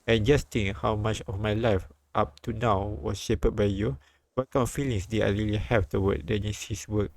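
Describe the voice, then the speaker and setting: A man streaming a live read-aloud of a visual novel, halting and repeating words as he goes. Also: on the low side, steady tone.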